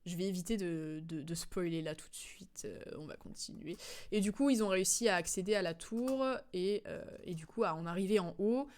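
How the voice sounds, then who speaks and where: A woman's voice: on the low side, a little fast, darkish tone. A woman streamer giving casual game commentary, explaining the story in a hesitant, digressive way.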